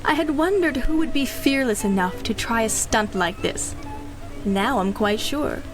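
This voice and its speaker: A woman's voice: a little high, animated, a little slow. A woman acting a cool, teasing mercenary spy and poisoner in a 1930s-style radio drama, suave and self-assured. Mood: smooth, teasing. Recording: music under the voice.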